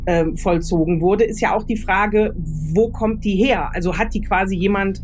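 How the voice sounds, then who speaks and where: A woman's voice: medium pitch, moderate pace, a little mellow, soft background music. A woman speaking unscripted as a guest author, explaining her advice step by step as she thinks aloud. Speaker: guest expert, radio drama author. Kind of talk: unscripted expert advice in conversation.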